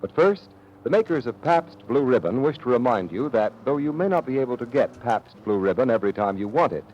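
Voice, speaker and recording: Animated man, vintage radio announcer. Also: medium pitch, a little fast. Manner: polished, formal, salesmanlike. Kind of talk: 1940s radio sponsor announcement. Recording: muffled vintage radio recording, faint hum.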